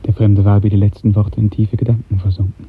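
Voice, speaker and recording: A man narrating a literary radio drama in a measured, lyrical 1950s storytelling style. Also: on the low side, flat delivery, slightly rough. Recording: muffled old 1950s radio recording.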